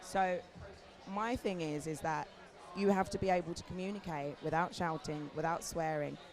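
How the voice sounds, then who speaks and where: A British woman in her forties, an agency founder talking candidly on a festival panel, her voice hoarse from talking. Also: deep voice, moderate pace. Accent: British English. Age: 40s. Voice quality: animated, chatty, swears casually. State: hoarse, lost voice. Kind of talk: candid conversational panel talk. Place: outdoor festival stage with crowd. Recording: live event, crowd noise behind.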